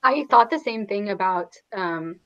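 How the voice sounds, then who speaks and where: A woman's voice: mid-range voice, expressive, measured pace, fairly bright tone, dull audio. A woman in a casual, rambling book discussion, peppering her sentences with "like". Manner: rambling, frequent "like". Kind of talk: casual chat about books.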